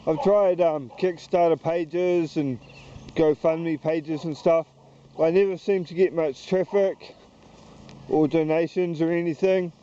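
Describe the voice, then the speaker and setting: A man with a New Zealand accent talks in a halting, wandering way, pausing and restarting his thoughts. His voice is very dark and mellow, set a little higher than most men's. The sound is dull and lo-fi, with faint outdoor noise behind him. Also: fairly even intonation. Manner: hesitant, halting, slow and wandering. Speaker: YouTuber. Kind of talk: rambling walk-and-talk vlog. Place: outdoors walking around town.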